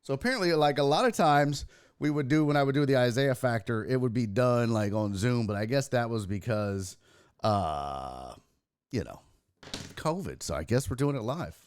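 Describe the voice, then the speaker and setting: Hoarse, raspy-voiced man hosting a stream. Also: mid-range voice, animated, normal speed, no echo, clean studio sound. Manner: crude, self-mocking banter with chat. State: hoarse, losing his voice. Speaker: host. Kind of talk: casual livestream sports talk.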